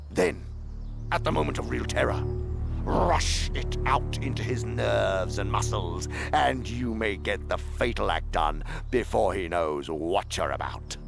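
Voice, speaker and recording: An actor playing a pompous, contemptuous demon uncle who mentors with menace in a theatrical radio drama. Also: male voice, mid-range voice, gravelly. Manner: pompous, deliberate, sweeping from hushed to thundering.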